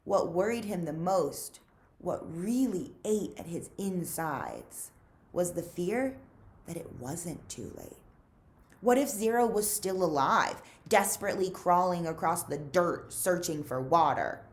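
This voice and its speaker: A woman reading a children's novel aloud as a librarian would, with measured, dramatic emphasis. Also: on the low side, very animated. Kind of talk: expressive storybook read-aloud.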